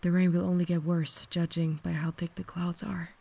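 A woman playing a cultured, caring vampire lady in an intimate ASMR roleplay, whispering softly with refined, gentle phrasing. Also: on the low side, little pitch movement, moderate pace, no echo. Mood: caring, gracious. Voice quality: soft, breathy whisper. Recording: noticeable background ambience noise.

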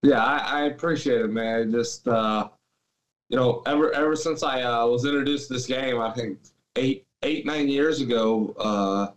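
A young man from Greece, a guest on a podcast, talking in a relaxed way with lots of fillers over a video call. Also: medium pitch, moderate pace, reverberant. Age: young adult. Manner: relaxed, many fillers and restarts. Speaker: guest athlete. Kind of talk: casual interview chat. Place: small room at home.

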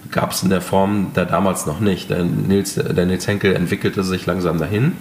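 A man who is a chef, answering interview questions in a relaxed, hesitant way with many fillers. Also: low voice, moderate pace. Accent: German. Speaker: chef, restaurant owner. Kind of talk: casual interview talk.

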